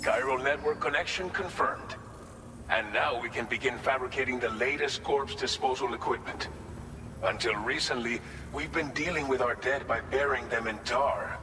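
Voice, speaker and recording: A man plays an earnest official of a post-apocalyptic settlement in a game cutscene. His mid-pitched voice is polite and slightly hesitant, with a grateful air. Clear rumbling ambience sits behind him. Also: moderate pace, noisy background, close to an everyday voice. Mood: grateful, courteous. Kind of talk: video game cutscene acting.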